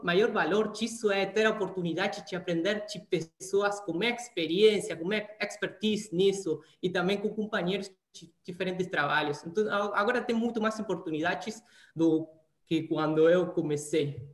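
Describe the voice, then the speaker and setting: A man's voice: high-pitched, normal speed. A young man from Argentina with an Argentine Spanish accent, a native Spanish speaker answering interview questions over a video call, hesitant and often restarting his words. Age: young adult. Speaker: data scientist guest. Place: small room at home, indoors. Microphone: laptop webcam on video call. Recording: echoey room.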